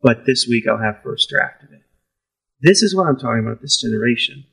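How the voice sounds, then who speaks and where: Casting: male pastor, gravelly raspy voice, candid and self-deprecating. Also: mid-range voice, lively intonation, brisk, fairly bright tone, low-quality recording. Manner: self-deprecating, laughs easily. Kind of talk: candid conversational storytelling.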